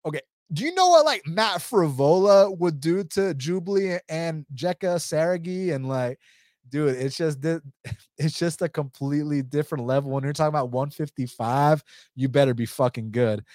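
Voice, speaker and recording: A man's voice: a little high, moderate pace, a little mellow, dry recording, studio quality. A young American man giving casual, profane fight-pick commentary, talking straight to his viewers. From United States. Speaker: MMA fight picks commentator.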